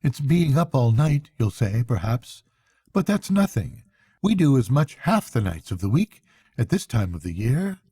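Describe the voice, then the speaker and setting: Man reading as earnest, mellow little storybook mole. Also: medium pitch, very animated, unhurried, breathy edge, no echo, clean studio sound. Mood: weary, wondering. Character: gentle, eager mole, earnest and weary little animal.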